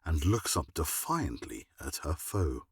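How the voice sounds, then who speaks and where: Deep, slow, husky male narrator. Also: sing-song, no room sound, studio quality. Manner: measured, dramatic. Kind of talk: scripted dramatic storytelling narration.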